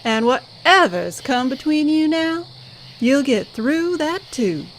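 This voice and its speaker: A woman's voice: high voice, sing-song, takes it slowly, slight background noise, full character voice, rough recording. A woman playing a motherly, no-nonsense band director in an audio drama, folksy and reassuring. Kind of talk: audio drama character acting.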